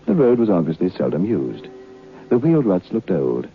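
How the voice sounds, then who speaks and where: On a dull old radio recording with faint music, a reflective gentleman tells his story in a deep, widely swooping voice. His manner is measured and literary, as in a radio drama. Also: huge pitch swings, full character voice. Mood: wistful, foreboding. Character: reflective educated gentleman recalling a strange episode of youth. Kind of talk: old radio drama first-person narration.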